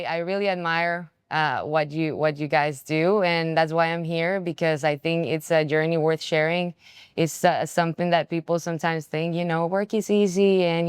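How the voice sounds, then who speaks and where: A woman's voice: lowish voice, clean tone, no room sound, studio quality. A woman hosting a podcast, friendly and admiring, rambling a little with fillers as she introduces her guests. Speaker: podcast host. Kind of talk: casual interviewing.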